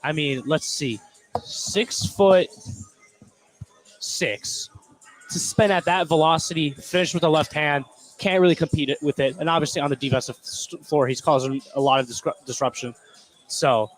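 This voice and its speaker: A man's voice: fairly high pitch, unhurried, soft background music. A man giving conversational color commentary during a live basketball radio broadcast. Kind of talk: conversational sports analysis. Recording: noisy gym ambience behind. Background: ambient noise of a basketball game.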